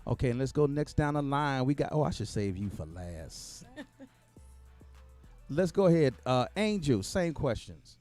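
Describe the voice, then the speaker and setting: A middle-aged man with an ordinary mid-pitched voice hosts a panel chat. He teases playfully and offers frequent encouragement in a casual, lively way. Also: a little slow, faint music behind. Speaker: host.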